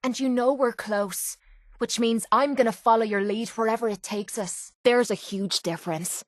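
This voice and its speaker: A woman's voice: fairly high pitch, normal speed, dry recording, clean studio sound, close to an everyday voice. Actress as a brash, tough Irish mercenary woman. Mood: stern, angry. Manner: blunt, cocky, slangy. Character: tough, brash young mercenary woman, sarcastic and foul-mouthed. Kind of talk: video game companion voice lines.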